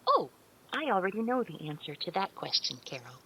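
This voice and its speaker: A woman's voice: on the low side, very animated, a little fast, gravelly, fairly bright tone, slight background noise, exaggerated voice, poor audio. A woman playing a polite, childlike artificial intelligence in an audio drama, speaking earnestly with precise, formal, literal wording. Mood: polite, pleased.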